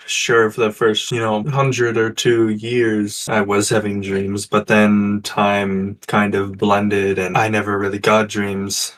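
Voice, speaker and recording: Guy playing a tabletop rpg, lowish voice, hesitant and low key. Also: completely flat delivery, slow pace, hardly any pauses, fairly bright tone, poor audio. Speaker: tabletop game player. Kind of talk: casual in-character roleplay.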